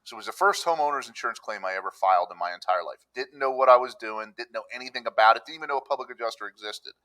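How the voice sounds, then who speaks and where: A middle-aged man from Pennsylvania chats as a podcast guest in a clear, bright voice of ordinary pitch. He talks in an easygoing, storytelling way and acts out the people he quotes. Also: very fast, rough recording. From Pennsylvania, USA. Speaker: public adjuster, podcast guest. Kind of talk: conversational interview. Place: room with a countryside backdrop.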